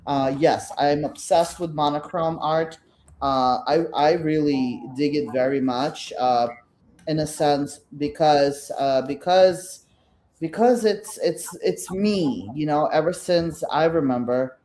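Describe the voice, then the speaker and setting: A woman's voice: low-pitched, dark tone. Middle-aged woman with a Russian Brooklyn accent. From Belarus. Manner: halting, many restarts and fillers. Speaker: artist guest. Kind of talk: casual interview chat.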